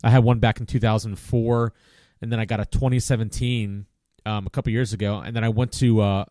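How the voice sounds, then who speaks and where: A man's voice: on the low side, level tone, fairly quick, warm tone, no room sound, studio quality. A man in his late thirties hosting a casual chat, talking in a rambling, easygoing way and laughing often. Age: 30s. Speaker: podcast host.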